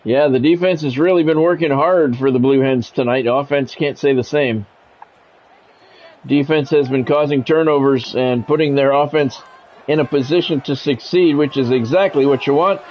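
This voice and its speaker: A man's voice: mid-range voice, fairly even intonation, moderate pace, a little mellow. An American man doing play-by-play commentary on a football game in short, clipped announcer phrases. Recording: dull lo-fi sound, music behind.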